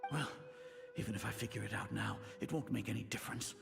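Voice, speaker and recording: A man's voice: lowish voice, quick pace, gravelly, music in the background. An actor playing an imperious, suspicious older male grand sage in a video game, speaking formally and commandingly as he grows rattled. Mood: brooding, puzzled. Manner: commanding, formal, questioning himself aloud.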